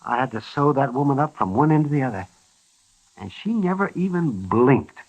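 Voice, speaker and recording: An actor playing a crusty, garrulous older town doctor in a radio western, folksy and emphatic, with an American accent. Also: male voice, medium pitch, lively intonation, normal speed, husky. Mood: chatty, rueful. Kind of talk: 1950s radio western acting. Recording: muffled vintage radio recording.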